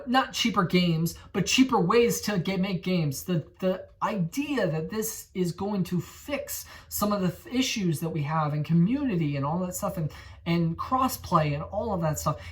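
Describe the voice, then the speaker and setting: A man in his twenties hosting a gaming channel, talking in casual commentary that rambles, gets excited and corrects itself. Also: sits high, recorded from a distance. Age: 20s.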